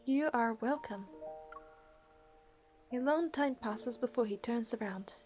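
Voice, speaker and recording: Mellow-voiced woman reading a game aloud. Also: fairly high pitch, takes it slowly, soft background music. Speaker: gamer reading and commenting. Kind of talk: reading game narration aloud with casual commentary.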